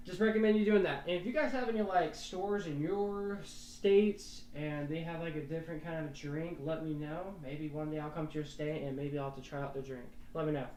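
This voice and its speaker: A man's voice: a little high, a little mellow, reverberant, far from the mic, slight background noise, rough recording. A young man, a weather forecaster giving casual, rambling live storm commentary on a stream.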